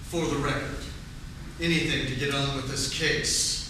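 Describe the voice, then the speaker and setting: A man's voice: mid-range voice, fairly even intonation, moderate pace, far from the mic, natural voice. A man playing a formal, impatient prosecuting attorney in a stage radio play. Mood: impatient, pompous. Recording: echoey stage from afar, faint noise.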